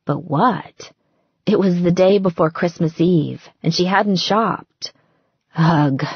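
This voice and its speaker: A woman reading a novel aloud as an audiobook narrator, expressive and scripted. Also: lowish voice, slow, vocal fry, slightly rough, no room sound, highs cut off.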